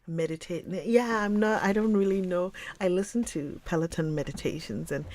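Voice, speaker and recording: A woman hosting a livestream, chatting casually with viewers in a rambling way and often dropping into a soft whisper. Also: lowish voice, moderate pace, no echo. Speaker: livestream host reading books. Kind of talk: casual chat with live viewers.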